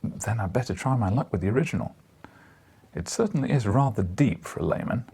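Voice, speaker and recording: A man's voice: on the low side, lively intonation, a little fast, mellow, slightly rough, heavily acted. A man voicing an eager, polite young newspaper reporter in an audiobook, earnest and a little hesitant. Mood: puzzled, hopeful. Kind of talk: audiobook character dialogue.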